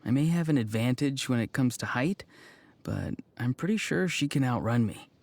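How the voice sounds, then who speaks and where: An actor voicing a gentle, earnest and introspective young man in a video game, speaking in a halting, thoughtful and sincere way. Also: mid-range voice, animated, creaky, no echo. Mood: pensive, admiring. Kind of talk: video game character voice lines.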